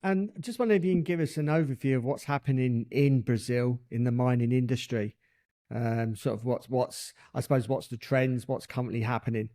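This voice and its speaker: A podcast host in conversation with his guest. He asks questions hesitantly, leaning on fillers before getting to the point. His voice sits at an ordinary male pitch. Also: fairly even intonation, fairly quick, mellow. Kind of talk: asking interview questions.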